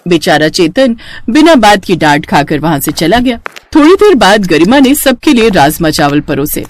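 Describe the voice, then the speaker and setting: A woman narrating a story in an expressive storytelling style. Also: lowish voice, normal speed, a little hiss or hum.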